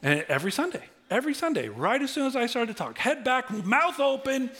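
A middle-aged male pastor giving a sermon, conversational and humorous, swinging from quiet asides to loud bursts. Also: very high voice, big pitch swings, brisk. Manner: humorous, conversational preaching with big swings in loudness.

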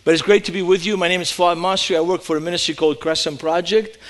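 A middle-aged man with a Lebanese accent, preaching as a guest speaker in a church, animated and emphatic. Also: high voice, fast talker. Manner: animated storytelling, rising emphasis. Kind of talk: sermon.

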